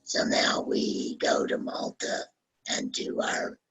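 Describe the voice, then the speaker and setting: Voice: slow, a bit bright. A host and author loosely introducing the next reader in a whispery, hesitant way. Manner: whispery, hesitant, many fillers. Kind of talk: casual introduction.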